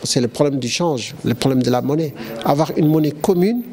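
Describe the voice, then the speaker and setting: A man's voice: on the high side, brisk, dark tone. A man giving a methodical statement, laying out his points one by one. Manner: methodical, lists points in order. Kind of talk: explanatory statement.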